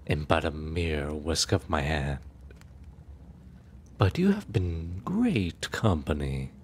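Man acting a very deep, suave immortal prince. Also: a little hiss or hum, exaggerated voice. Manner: formal, courtly, slow and teasing. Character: suave, menacing immortal prince, courtly and possessive. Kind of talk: ASMR roleplay acting.

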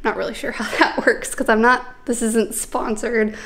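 A young pregnant woman chatting casually to camera in a bright living room, upbeat and full of fillers as she shows off her purchases. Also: fairly high pitch, steady tone, moderate pace. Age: young adult. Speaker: lifestyle vlogger. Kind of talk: casual vlog chat showing purchases.

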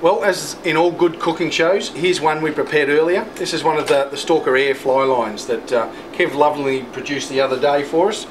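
A middle-aged man with a fairly high voice presents a casual tour, explaining as he goes in a chatty way. He sounds echoey and set back from the microphone, in a loud factory hum. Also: normal speed, hardly any pauses, distant mic. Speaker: host presenter. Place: indoors in a large factory and workroom.

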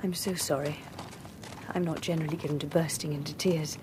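An actress playing a gentle, sincere young Victorian gentlewoman in a radio drama, soft and breathy, with a British accent. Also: sits low, fairly even intonation, fairly quick, noisy background, lightly acted. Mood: tearful, tender. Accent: British English. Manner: soft, breathy, hesitant, formal. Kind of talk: BBC radio drama acting.

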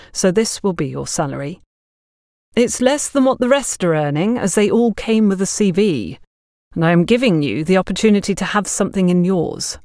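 A woman's voice: lowish voice, darkish tone, no room sound, studio quality. Woman acting a stern, formal shop boss. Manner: formal, measured.

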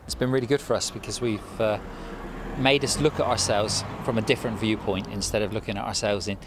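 A man's voice: on the low side, fairly even intonation, a little fast. A man in his forties, a shopkeeper, giving a casual interview answer outside his shop. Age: 40s. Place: outdoors in front of a shop. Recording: outdoor street and traffic noise. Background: cars, street ambience.

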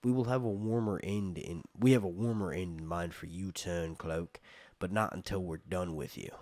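A man's voice: deep voice, fairly even intonation, normal speed, a little mellow, no room sound. A man doing a curt, stern king.

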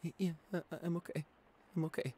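A man playing a shy, anxious young boyfriend in an ASMR roleplay, speaking softly with hesitant stammers. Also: a little high, fairly even intonation, a little fast, background noise, lightly acted. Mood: calming down. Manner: hesitant, stammering, soft-spoken. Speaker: audio roleplay performer.

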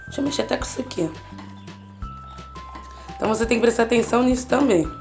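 A woman's voice: on the high side, quick pace, a little mellow, soft background music. A woman with a Brazilian Portuguese accent hosting a casual home cooking tutorial, chatting to her viewers as she cooks in her kitchen. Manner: chatty, constantly checking in with the viewer. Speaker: cooking channel host.

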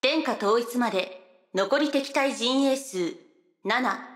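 A woman's voice: a little high, takes it slowly, roomy sound. A woman voicing a game system announcer with formal delivery in a voice drama. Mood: impassive.

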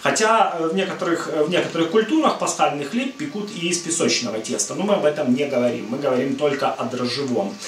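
A middle-aged man hosts a home cooking video and explains at a relaxed pace, with a fairly high voice and frequent "uh" fillers. He stands back from the camera, so his voice sounds distant and picks up echo from the kitchen. A faint hum of room noise sits underneath. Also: fast talker, hardly any pauses. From Ukraine. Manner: rambling, many "uh" fillers, friendly and explanatory. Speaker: cooking channel host. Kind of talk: casual cooking talk to camera. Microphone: far camera.

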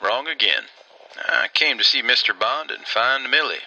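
A man's voice: mid-range voice, animated, normal speed, bright, forceful tone, dull audio, heavily acted. An actor playing a plain-spoken, guarded small-town railman in a radio drama, dry and matter-of-fact. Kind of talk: old-time radio drama acting.

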